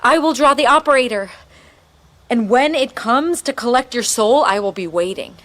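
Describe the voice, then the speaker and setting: A woman's voice: on the high side, expressive, moderate pace, fairly bright tone, no echo. A menacing female agent with a gun. She is cold and portentous in audio drama style, recorded very dry and close.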